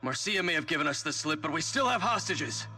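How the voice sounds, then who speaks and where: An extremely sharp, forceful, highly animated voice of a desperate militant in a game cutscene. He is curt and hot-tempered, a little distant from the mic over faint music. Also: fairly quick. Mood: agitated, defiant. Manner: agitated, defiant, curt. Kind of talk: video game cutscene acting.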